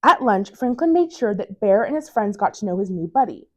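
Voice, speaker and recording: Animated woman reading a children's story. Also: medium pitch, fairly quick. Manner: expressive storytelling. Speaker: library staff storytime reader. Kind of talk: children's picture-book read-aloud.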